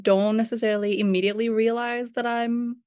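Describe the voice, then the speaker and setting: A woman's voice: on the high side, flat delivery, fairly quick, a little mellow, smooth, no echo, clean studio sound. A young woman, a non-native English speaker with a light Slavic accent, talking reflectively as a guest researcher and hedging her points. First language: not English. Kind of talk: casual conversation.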